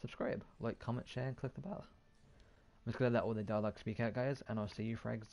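A man's voice: medium pitch, fairly even intonation, brisk, a little mellow. A man giving casual, offhand gameplay commentary, muttering and mildly swearing to himself. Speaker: gaming YouTuber.

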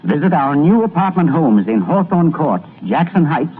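A man's voice: fairly high pitch, big pitch swings, moderate pace. A man acts out a genteel 1920s radio commercial announcer. His formal, swooping delivery comes through a thin, phone-like old broadcast sound with faint noise. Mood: genteel persuasion.

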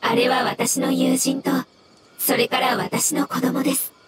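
A woman voicing a gentle, polite elemental creature in a video game, speaking in measured, formal phrases. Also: sits high, level tone, loud music behind the voice. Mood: gentle, caring. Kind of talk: scripted game dialogue.

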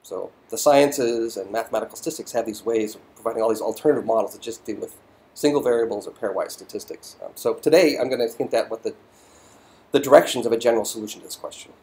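A man's voice: lowish voice, quick pace, darkish tone. A middle-aged man giving a physics lecture as a voiceover to slides, thoughtful and full of ums and restarts.

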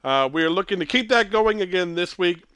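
A man in his thirties hosting a casual gameplay stream, stuffy with a cold and clearing his throat, rambling and correcting himself as he talks. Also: high voice, lively intonation, brisk, fairly bright tone, dry recording, studio quality. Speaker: streamer host.

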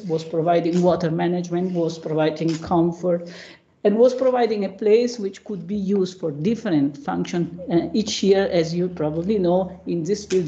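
Italian lady prof doing a slide lecture on a video call, kinda dark creaky voice, stops and hunts for words. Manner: halting, searching for words. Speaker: academic panelist, professor. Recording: muffled low-bandwidth video call audio.

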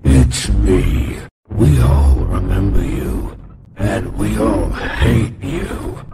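A man playing a vengeful, haunted animatronic bear, ominous and slow in horror voice lines. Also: deep voice, sing-song, vocal fry, exaggerated voice. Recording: loud music behind voice.

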